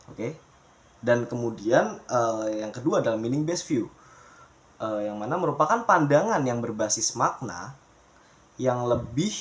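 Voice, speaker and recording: Young male student, mid-pitched voice; relaxed, chatty class presenter who throws in English jargon. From Pemalang, Central Java, Indonesia. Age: young adult. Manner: chatty, calls the viewers guys, mixes in English terms.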